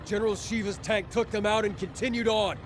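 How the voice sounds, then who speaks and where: A man's voice: sits high, fairly even intonation, a little fast, slight background noise, close to an everyday voice. A man playing an anxious, obedient army subordinate in a video game, reporting dutifully. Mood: nervous, dutiful.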